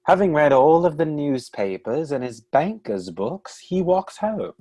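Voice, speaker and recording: A man's voice: a little high, very animated, measured pace, mellow, no echo, lo-fi sound, exaggerated voice. A man voicing an exasperated male author who narrates his own story in a radio play. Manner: exasperated, sighing.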